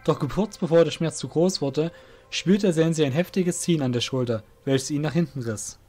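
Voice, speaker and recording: A man's voice: mid-range voice, a little mellow. A man narrating a scripted fantasy story as the narrator of an audio drama.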